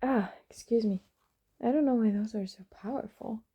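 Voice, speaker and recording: A woman's voice: a little high, normal speed, warm tone, husky, dull audio. A woman with a stuffed-up, sniffly nose, talking casually into her phone at home and murmuring breathily between sneezes. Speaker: vlogger. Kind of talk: casual self-recorded vlog.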